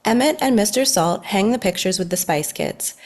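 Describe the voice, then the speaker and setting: A woman's voice: medium pitch, expressive, moderate pace, a little mellow. A woman reading scripted narration for a children's story at a table read.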